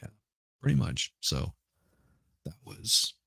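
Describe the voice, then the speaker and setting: A middle-aged man chatting casually as a podcast host, hoarse and rambling, often repeating words and restarting. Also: deep voice, very animated, takes it slowly, bright, forceful tone, dry recording, studio quality. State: hoarse from smoke. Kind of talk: casual livestream chat.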